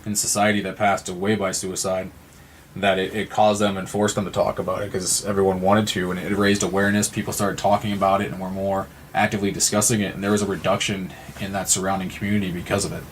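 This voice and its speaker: A man from Missouri with an American accent, speaking as an interview guest in an earnest, halting, reflective way. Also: low-pitched, level tone, slight background noise. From Missouri, United States. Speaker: nonprofit representative and guest. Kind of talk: conversational interview.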